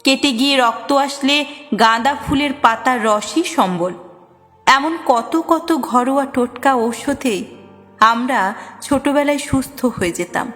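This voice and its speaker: A woman's voice: high voice, measured pace, fairly bright tone. A woman reading a scripted, reflective personal story. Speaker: story narrator. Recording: long reverb, music under voice. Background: music.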